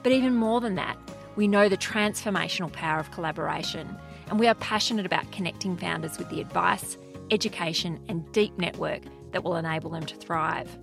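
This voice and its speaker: A woman's voice: lowish voice, a little fast, creaky, music in the background. A woman hosting an interview podcast in a polished, presenter style, introducing and questioning guests.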